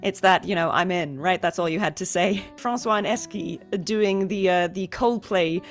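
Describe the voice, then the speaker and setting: A woman hosting a casual interview, chatty and giggly, quick to agree with her guest. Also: lowish voice, soft background music. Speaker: interview host.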